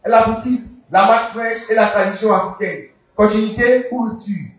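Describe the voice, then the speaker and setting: A man preaching a sermon in French, slow and declaiming, repeating the reading after the reader, line by line. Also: sits high, steady tone. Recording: echoey hall, voice from far off.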